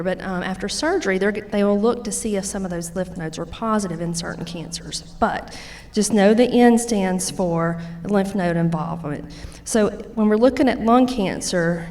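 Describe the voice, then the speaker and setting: A woman's voice: mid-range voice, a little mellow. A woman from Louisiana with a Louisiana Southern accent, a nurse practitioner giving a folksy conference talk that explains with everyday examples. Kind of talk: conference presentation. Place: conference hall.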